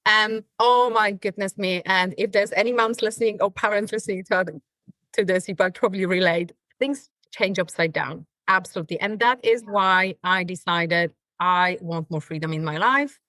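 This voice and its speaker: A woman's voice: medium pitch, moderate pace, fairly bright tone, no room sound. A woman from Poland with a Polish accent, a podcast guest telling her story earnestly with rising emphasis. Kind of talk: podcast interview.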